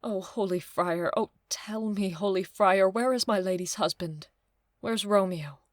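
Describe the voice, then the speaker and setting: A fretful, bossy servant nurse acted by a woman laments in a modern Shakespeare audio play. Her mid-pitched voice pleads and repeats itself, recorded dry and close. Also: a little slow, no echo, close to an everyday voice. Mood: urgent.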